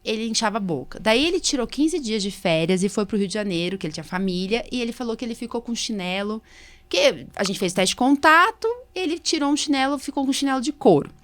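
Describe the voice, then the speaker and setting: A woman's voice: a little high, lively intonation, quick pace, fairly bright tone. A Brazilian woman hosting a casual medical podcast as a doctor, chatty and anecdotal, often checking for agreement. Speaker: podcast host, allergist doctor.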